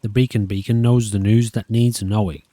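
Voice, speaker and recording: A man's voice: on the low side, fairly even intonation, moderate pace, warm tone, no echo, natural voice. A man voicing a pompous, cryptic small-town newspaperman in a story game. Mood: pompous, ominous.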